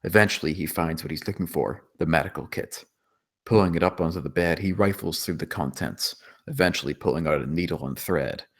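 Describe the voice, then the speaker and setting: A man with a deep voice reads screenplay action aloud. He serves as narrator, setting scenes and describing what characters do in scripted prose. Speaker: stage-direction narrator.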